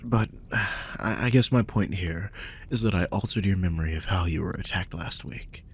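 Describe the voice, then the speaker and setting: A man playing a protective, guilt-ridden magical guardian in an intimate roleplay audio drama, speaking softly and hesitantly in a husky, breathy voice that often drops toward a whisper. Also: low voice, steady tone, normal speed, a little hiss or hum. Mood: guilty, hesitant. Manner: soft, hesitant, soothing, with frequent sighs.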